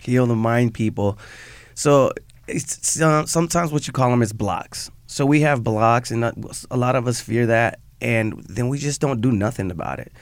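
A man's voice: medium pitch, moderate pace, clean tone, no echo. A man hosting a radio interview, upbeat and hyping up the guest, often turning to address the listeners directly. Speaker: radio host.

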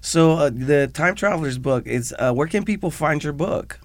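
A man's voice: fairly high pitch, expressive, moderate pace, no room sound. A man hosting a radio interview, upbeat and hyping up the guest, often turning to address the listeners directly. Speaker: radio host.

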